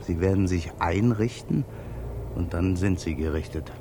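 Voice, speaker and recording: Cranky oddball painter in an old radio comedy, lowish voice, mutters and grumbles, noisy tape. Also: male voice, mellow. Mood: dry, contrary. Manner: grumbling, halting and muttering when flustered. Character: grumpy misanthropic male painter, eccentric and contrary. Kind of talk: 1950s German radio play acting. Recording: noisy 1950s radio recording.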